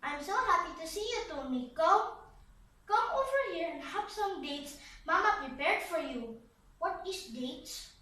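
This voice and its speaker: A woman's voice: very high voice, lively intonation, measured pace, pushed and bright, exaggerated voice. A woman voicing earnest, polite young boy puppets with careful, recited delivery in a scripted puppet show. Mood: excited, welcoming. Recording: roomy echo, slightly distant mic.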